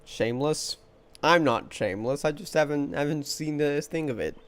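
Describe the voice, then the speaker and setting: A man's voice: fairly high pitch, moderate pace, clear, resonant tone. A man streaming a game playthrough, chatting casually with viewers and teasingly talking back to the characters.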